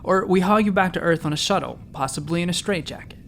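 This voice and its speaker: A man's voice: high-pitched, fast talker, gravelly, a bit bright, slight background noise. An actor playing an earnest, eager doctor in his thirties, patient but a little awkward, in a sci-fi comedy audio drama. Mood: firm. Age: 30s. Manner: sincere, patient, slightly awkward. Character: earnest, eager thirty-four-year-old doctor, easily flustered.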